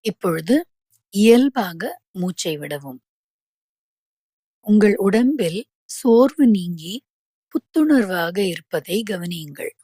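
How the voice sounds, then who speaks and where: Woman, meditation guide, very slow pace, breathy-husky tone, measured instructions, calm delivery. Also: mid-range voice, no room sound. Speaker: yoga nidra meditation guide.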